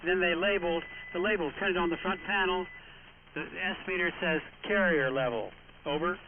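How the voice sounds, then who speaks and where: A man's voice: high voice, normal speed. An American man chatting casually as net controller in an amateur radio exchange. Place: voice heard over amateur radio link. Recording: tinny shortwave radio, clear static noise.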